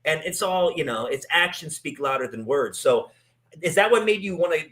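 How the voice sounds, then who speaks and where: A man's voice: a little high, animated, normal speed, fairly bright tone. A man hosting a casual interview, talking in long rambling turns full of restarts and fillers. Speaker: podcast host. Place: in Italy.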